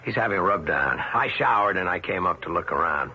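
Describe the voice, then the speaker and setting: An eager lawyer husband talking up a fancy club in a vintage radio drama. His voice is bright and forward and moves around a lot. The recording is dull and lo-fi. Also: deep voice, animated, a little fast, a little hiss or hum, full character voice. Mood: enthusiastic. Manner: enthusiastic, reassuring. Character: cheerful male lawyer husband, impressed. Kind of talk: 1970s radio drama acting.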